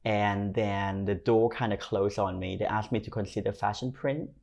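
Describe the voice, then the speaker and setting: Male podcast guest, Thai accent, lower-pitched, talking through personal anecdotes in a conversational way. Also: steady tone, normal speed, vocal fry, a little mellow. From Thailand. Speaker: podcast guest, fashion stylist and creative consultant. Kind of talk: conversational interview storytelling.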